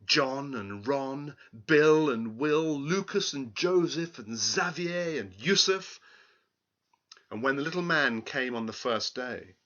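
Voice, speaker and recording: Slow, animated male storyteller. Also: mid-range voice, lo-fi sound. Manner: dramatic, emphatic. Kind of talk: expressive children's story reading.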